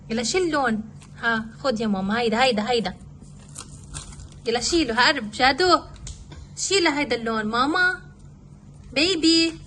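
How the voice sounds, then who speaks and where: A woman's voice: sits high, pushed and bright, slight background noise. A woman with a Lebanese accent, a mother talking casually in a homemade kids' craft video.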